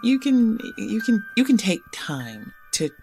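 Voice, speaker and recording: A woman's voice: fairly high pitch, sing-song, a little slow, a little mellow. An actress playing a shy, guarded young poet who talks hesitantly and self-consciously, in an audio drama with music under her. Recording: music score clearly under the voice.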